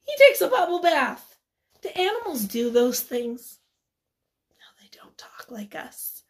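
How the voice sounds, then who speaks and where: A woman with a high voice speaks as an elementary teacher to young children. Her delivery is slow and instructive, with simple questions, pauses and encouraging replies. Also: big pitch swings. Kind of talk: read-aloud lesson for young children, asking questions and answering them.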